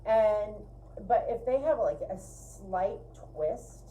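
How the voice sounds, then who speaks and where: A woman's voice: medium pitch, animated, slow, dark tone, slight background noise, rough recording. A woman co-hosting a livestream, giving a casual craft tutorial in a chatty, emphatic way. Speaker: co-host of a fiber arts livestream. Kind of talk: casual spinning tutorial chat.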